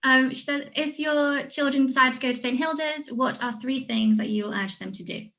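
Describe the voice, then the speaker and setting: A woman's voice: sits high, moderate pace, creaky, fairly bright tone. A woman hosting an informal question-and-answer session and relaying questions from the audience.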